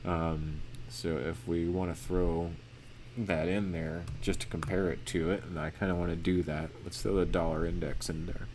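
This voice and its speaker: A man teaching forex chart analysis in a casual, monotone way with lots of fillers and restarts. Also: deep voice, moderate pace, a little hiss or hum. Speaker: forex trading educator.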